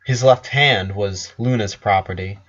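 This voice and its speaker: A man reading a scripted story as narrator, in a measured way with pauses between phrases. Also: lowish voice, a bit bright. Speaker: fan fiction narrator. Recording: dull lo-fi voice recording.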